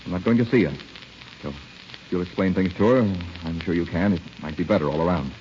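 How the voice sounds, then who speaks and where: A deep-voiced young army captain, resigned and bitter after his war wound. He talks quickly in a clipped, restrained radio-drama manner. The recording is old and muffled. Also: animated, a little mellow, a little hiss or hum. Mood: controlled, insistent. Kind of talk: 1930s-40s radio drama acting.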